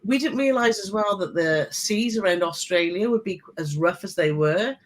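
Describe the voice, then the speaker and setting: A woman's voice: medium pitch, expressive, normal speed. A British woman, a cruise vlogger guest, chatting and often asking her husband for agreement. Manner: chatty, asks for agreement with tag questions. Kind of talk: casual live-stream chat.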